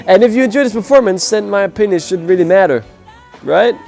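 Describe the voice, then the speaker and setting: A man's voice: high voice, animated, fairly quick, gravelly, a little mellow, soft background music. A man with a sick, nasal voice giving a blunt, opinionated casual review. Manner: blunt, opinionated, grades with scores. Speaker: music performance reviewer. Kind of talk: casual spoken video review.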